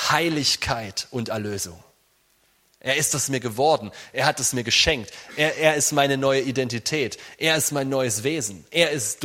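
A man preaching an informal sermon in an animated, conversational way, speaking directly to his listeners. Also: mid-range voice. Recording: reverberant hall sound.

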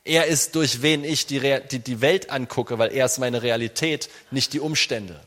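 A man preaching an informal sermon in an animated, conversational way, speaking directly to his listeners. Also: medium pitch, normal speed. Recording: reverberant hall sound.

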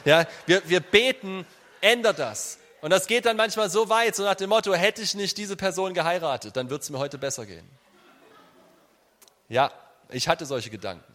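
A man's voice: sits high, moderate pace. Man preaching in a reverberant hall. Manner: animated, conversational, addresses listeners directly. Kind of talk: informal sermon to a congregation.

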